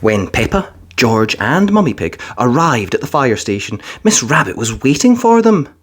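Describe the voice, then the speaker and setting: A woman narrating a children's storybook in an expressive, deliberate read-aloud style. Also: extremely low pitch, husky.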